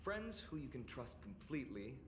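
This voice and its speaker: Earnest young hero, sincere, lively pitch, echoey with faint music. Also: male voice, moderate pace, a little hiss or hum. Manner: warm-hearted, sincere, reflective. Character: earnest young male hero, friendly and thoughtful.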